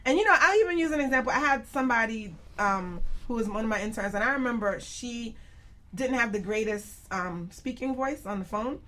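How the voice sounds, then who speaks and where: A woman hosting a radio show, giving conversational business advice with expressive storytelling and acted-out quotes. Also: on the high side, a little fast. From New York, United States.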